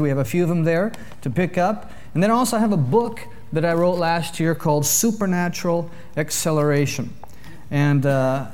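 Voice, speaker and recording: Male guest preacher, somewhat high voice, humorous storyteller working the congregation. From Canada. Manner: jokey, lively storyteller addressing a congregation. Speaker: visiting preacher.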